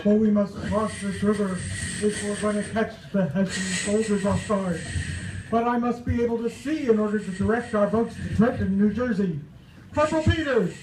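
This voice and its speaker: A man acting as a brave Revolutionary War general pitched high, declaiming heroically as in a radio play parody. He is grandly earnest, heard from far off in a roomy, noisy space. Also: normal speed, lightly acted. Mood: urgent commanding. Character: General George Washington, brave solemn commander in a storm. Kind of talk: old-time radio drama parody on stage. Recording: distant, echoey live stage with noise.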